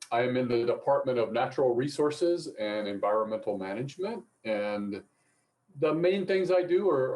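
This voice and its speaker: A middle-aged man from Appalachia speaking as a professor on a panel, explaining things in a measured way. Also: lowish voice, darkish tone. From Appalachia, mountains of North Carolina and Virginia. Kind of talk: informal panel talk and explanation.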